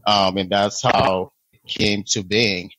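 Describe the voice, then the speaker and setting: Low, flat-voiced man from Liberia. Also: no echo, low-quality recording. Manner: measured, many ums and restarts. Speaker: podcast guest. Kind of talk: interview storytelling.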